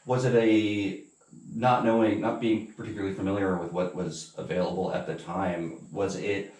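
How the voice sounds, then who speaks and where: A man with a deep voice conducts a casual, conversational interview. He feels his way through questions, restarting and rewording. He sounds a little distant from the microphone in an echoing room. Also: flat delivery, normal speed, a little mellow. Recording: roomy echo, distant from mic.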